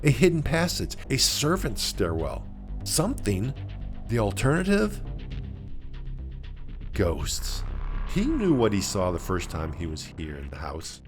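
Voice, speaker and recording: A man narrating a scripted suspense story, dramatically, with deliberate pauses. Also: on the low side. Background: music.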